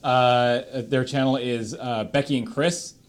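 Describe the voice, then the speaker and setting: A man's voice: medium pitch, fairly even intonation, slow. A man with a Canadian accent, casually and enthusiastically giving recommendations as a panelist on a livestream.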